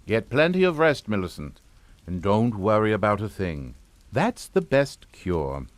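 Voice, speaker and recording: A man's voice: fairly low pitch, sing-song, a little slow, slight background noise. An actor playing a genteel, middle-aged family man in a suspense drama, pompous and formal with a falsely jovial manner. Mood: smoothly genial. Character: genteel English family patriarch, smoothly hypocritical and unflappable. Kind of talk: radio suspense drama acting.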